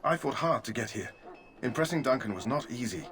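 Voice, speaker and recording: A man's voice: medium pitch, lively intonation, a little fast, a bit bright, lightly acted. A man voicing an earnest young male knight in a video game, speaking formally and a little stiffly. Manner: formal, old-fashioned, earnest. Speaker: game character.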